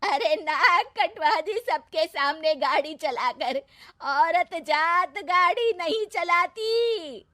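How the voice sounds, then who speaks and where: Cartoon village matriarch, old and traditional, acted by a woman, high and sharp, sarcastic scold. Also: animated, slow pace, dry recording, studio quality, heavily acted. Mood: angry. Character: scolding, traditional old village mother-in-law, tender toward her son. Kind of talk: animated moral story acting.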